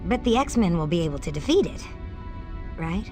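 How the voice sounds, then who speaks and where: An actress playing an earnest, inquisitive young superhero recruit in a video game, full of questions. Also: female voice, lowish voice, very animated, moderate pace. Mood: curious, worried. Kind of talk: video game cutscene acting. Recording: music clearly behind the voice.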